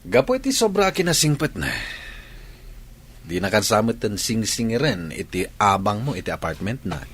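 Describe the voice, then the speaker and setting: A man plays a carefree, smug philanderer in an audio drama. His fairly low voice moves around a lot in pitch. He is playful and untroubled. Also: very animated, moderate pace, fairly bright tone, breathy edge, full character voice. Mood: smug, joking. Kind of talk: Ilocano audio drama acting.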